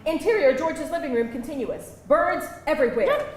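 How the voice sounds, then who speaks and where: A woman reading stage directions aloud as narrator at a live table read, expressive and theatrical, in a roomy venue. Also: sits high, moderate pace. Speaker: screenplay narrator. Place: live event venue with audience. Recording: roomy live venue, faint background noise.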